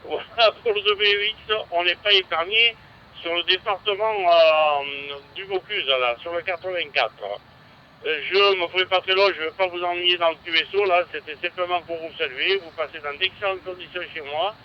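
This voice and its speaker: A man with a fairly high voice speaks through a slightly dull radio connection with faint noise. He gives his report politely, following radio procedure. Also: steady tone, moderate pace. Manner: courteous, procedural. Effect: voice heard over radio. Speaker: amateur radio operator.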